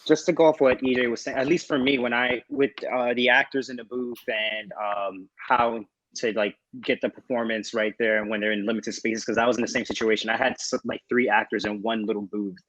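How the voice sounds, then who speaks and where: A man's voice: medium pitch, flat delivery, a little fast, fairly bright tone, no echo, poor audio. A man talking informally as a panelist about his directing work, in loose, unscripted phrasing. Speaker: panelist, audio drama director. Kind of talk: casual panel talk.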